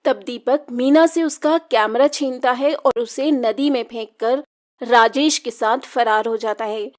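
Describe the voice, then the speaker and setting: Female storyteller for kids' tales: high voice, slow scripted narration. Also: darkish tone.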